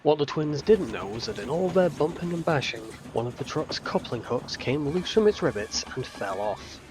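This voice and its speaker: A man narrating a scripted storybook tale in measured phrases with dramatic emphasis. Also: fairly high pitch, animated.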